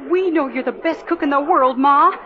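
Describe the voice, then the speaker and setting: An actress playing a wholesome, earnest young American farm daughter in a 1950s radio musical. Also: female voice, high voice, normal speed, a crowd in the background, lightly acted. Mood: excited, proud. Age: young adult. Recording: dull vintage radio recording, loud music.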